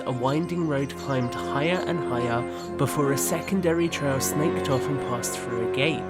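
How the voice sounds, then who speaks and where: A man narrating a scripted audio drama in a measured, descriptive storytelling manner. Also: fairly high pitch. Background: background music.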